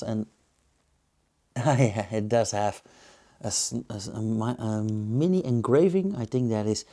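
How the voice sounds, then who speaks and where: A man with a Belgian accent giving casual, unscripted tasting commentary as a reviewer, pausing to muse and search for words. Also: fairly low pitch, gravelly, mellow. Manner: hesitant, musing, searching for words. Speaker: beer reviewer and host.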